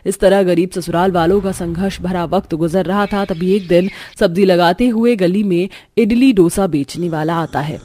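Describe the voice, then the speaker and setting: A woman's voice: lowish voice, moderate pace, few pauses, warm tone. A woman giving scripted narration for a cartoon family story.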